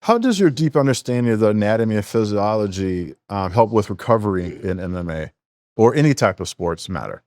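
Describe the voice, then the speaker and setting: A man's voice: on the low side, animated, a little fast, darkish tone, dry recording, clean studio sound. A man hosting a podcast who asks questions loosely and casually. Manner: loose, casual phrasing with laughs and fillers. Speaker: podcast host.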